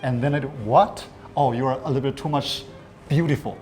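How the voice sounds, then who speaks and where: A woman's voice: very deep voice, moderate pace, a little mellow, soft background music. A woman who teaches Chinese, explaining words patiently in casual chat with many fillers.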